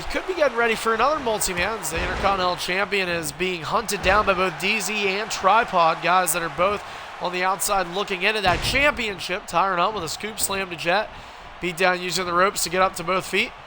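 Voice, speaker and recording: A man with a cold, sounding hoarse, hosting a game livestream and calling the action play-by-play like a wrestling announcer. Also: high-pitched, noisy background. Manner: wrestling-announcer calls, rising and punchy. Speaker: stream host and commentator.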